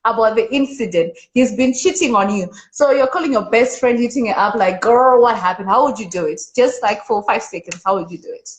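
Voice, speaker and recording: A woman's voice: fairly high pitch, a little fast, few pauses, low-quality recording. A woman hosting a casual interview, playful and teasing, laughing often. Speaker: host.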